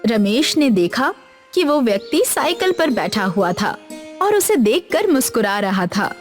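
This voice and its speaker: Brief: female storybook narrator, animated delivery, light music bed. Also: on the high side, measured pace. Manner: expressive storytelling.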